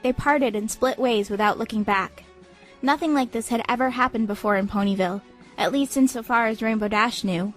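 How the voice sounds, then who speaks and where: A woman narrator reads a scripted story in dramatic audiobook style. Her voice sits slightly high, and music plays softly behind it. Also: a little fast.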